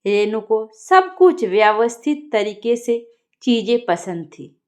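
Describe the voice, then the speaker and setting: A woman narrating a scripted story as a storyteller, in an expressive and deliberate manner. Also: fairly high pitch.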